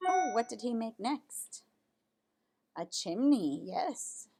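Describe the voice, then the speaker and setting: A woman with a fairly high voice reads a children's story aloud at a very slow pace. Her pitch moves up and down a great deal. She breaks from the text for casual comments and questions to her young listeners. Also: big pitch swings, dark tone, a little hiss or hum. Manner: pauses to comment on pictures and ask listeners questions. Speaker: storyteller reading a children's picture book aloud.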